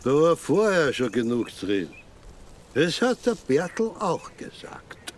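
Langsamer, kindlich-sturer österreichischer Dörfler. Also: male voice, on the high side, very animated, slow, slight background noise. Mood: matter-of-fact. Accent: Austrian. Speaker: radio drama actor. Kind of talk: radio drama dialogue.

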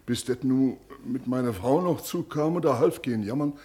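An actor playing a simple, evasive river bargeman in a radio play, halting and plaintive, in Berlin-Brandenburg dialect. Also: medium pitch, dark tone, natural voice. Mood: plaintive, defensive. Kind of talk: German radio play acting.

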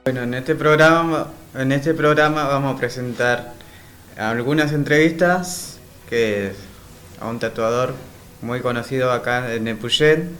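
A man with a mid-pitched voice presents a radio programme. His announcing is casual and informal. Music sits behind the voice. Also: a little slow, fairly bright tone.

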